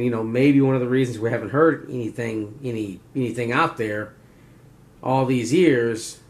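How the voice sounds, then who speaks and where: A man hosting a preparedness video, explaining in a casual, unscripted, folksy way, rambling and often checking in with "right?". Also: medium pitch. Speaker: survival and preparedness video host.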